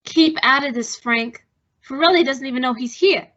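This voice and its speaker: A young, no-nonsense nightclub cigarette girl played by a woman, with a high, bright voice. She is brisk, in old radio crime-play style, on a slightly muffled recording. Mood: annoyed, firm. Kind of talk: old-time radio detective drama acting.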